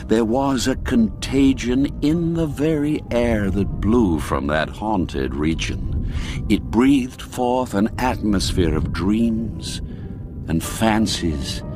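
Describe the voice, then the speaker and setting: Slow, expressive male storybook narrator. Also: lowish voice. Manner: measured, expressive storytelling with sweeping rises and falls. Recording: dry studio with faint music.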